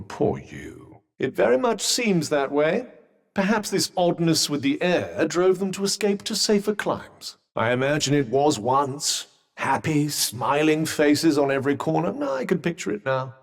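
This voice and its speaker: Haughty, high-pitched British magical talking vambrace. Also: male voice, big-room sound. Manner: pompous, dry wit, wordy and formal. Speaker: video game voice actor. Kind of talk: video game character dialogue.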